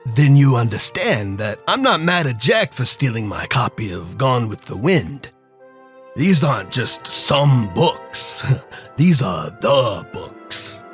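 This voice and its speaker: Calculating mob boss, played by a man, mid-pitched. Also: very animated, takes it slowly, fairly bright tone, faint music behind. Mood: smug, calculating. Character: self-assured male crime boss, calm and calculating. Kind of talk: noir audio drama acting.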